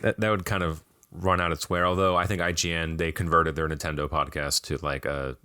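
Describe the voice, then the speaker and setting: Raspy American man, bright voice. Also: low-pitched, flat delivery, fairly quick, dry recording, studio quality. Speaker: podcast host. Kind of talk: casual video game podcast chat.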